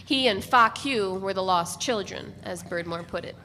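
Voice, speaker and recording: Female narrator for a live literary reading, medium pitch, long room reverb, light background noise. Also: moderate pace, bright, forceful tone. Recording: noisy, reverberant hall recording.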